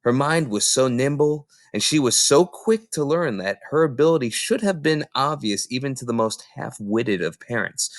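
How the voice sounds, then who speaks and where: A man reading a children's book aloud in an expressive, theatrical storytelling style with emphatic phrasing. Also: mid-range voice, no echo, studio quality.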